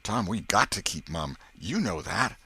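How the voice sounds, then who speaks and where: A man's voice: sits low, very animated, a little slow, pushed and bright, slightly rough, voice pushed up, heavily acted. A man voicing a worldly, wary village boy in an audiobook, with blunt, slangy, opinionated rustic speech. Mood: insistent.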